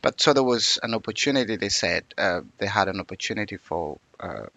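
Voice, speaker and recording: A man's voice: lowish voice, lively intonation, brisk, vocal fry, bright, forceful tone, no room sound. A man, telling his life story as an interview guest in a reflective, earnest way. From Uganda.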